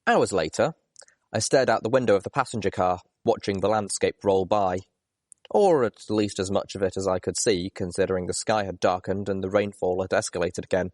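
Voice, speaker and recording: A man narrates a first-person story in a fairly low voice. His reading is expressive, in audiobook fashion, and the recording is dry with little room sound. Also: brisk, no echo. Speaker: audiobook reader.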